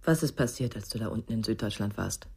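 A guarded woman in a radio crime play. Her voice sits very low for a woman and has a husky grain. She sounds uneasy and watchful. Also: animated, fast talker, darkish tone, exaggerated voice. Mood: guarded, probing. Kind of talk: German radio crime drama acting.

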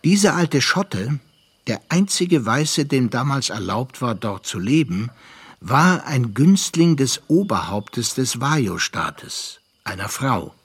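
An actor playing a reflective storyteller, narrating a literary radio play in a measured, deliberate way. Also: male voice, medium pitch, very animated, breathy edge, no echo, exaggerated voice. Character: measured, reflective male storyteller recalling an acquaintance. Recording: music behind the voice.

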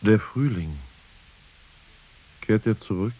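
An actor playing a dreamy reciter of spring verse in a radio drama, speaking in halting, meditative fragments over music. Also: male voice, fairly low pitch, expressive. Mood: musing, dreamy. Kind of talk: slow poetic recitation in an experimental radio drama. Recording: loud music bed, roomy echo at times. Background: loud music.

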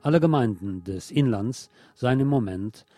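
A man's voice: mid-range voice, expressive, moderate pace, dark tone, no echo, faint music behind. A man presenting scripted radio news with wry asides.

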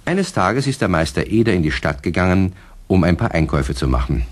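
A man's voice: sits low, very animated, brisk. A man narrating a children's radio play as a storyteller, from a script.

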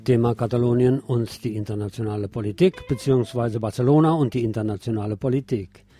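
A man's voice: mid-range voice, fast talker, dark tone, soft background music. A man presenting scripted news and commentary on the radio, reading as a presenter.

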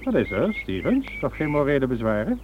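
A menacing crime boss with an aristocratic air, speaks coldly and slowly as if expecting obedience. It sounds like an old radio drama, dull and faintly noisy. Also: male voice, medium pitch, wildly swooping pitch. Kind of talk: Dutch radio drama acting.

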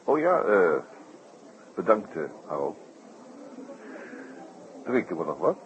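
An actor playing a sharp, laconic, teasing detective in a 1960s radio drama, hesitating and repeating himself wryly. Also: male voice, deep voice, big pitch swings, slow, heavily acted. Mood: wry, secretive. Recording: dull, noisy 1960s radio recording.

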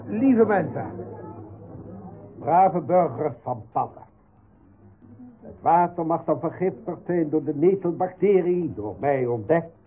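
A man's voice: fairly high pitch, lively intonation, slow, long pauses. A man acting a self-important, oratorical village benefactor in a radio drama. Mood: grandly reassuring. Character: grandiloquent male benefactor and self-proclaimed discoverer. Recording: tinny narrow-band radio recording with hiss.

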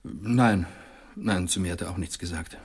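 Very deep, husky male secretary. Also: moderate pace. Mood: correct, guarded. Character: correct, efficient young male private secretary. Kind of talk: German radio play acting.

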